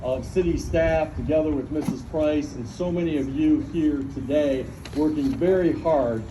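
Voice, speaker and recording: A man giving an earnest, measured welcome speech as an official at a public civic event. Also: on the high side. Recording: noisy event recording.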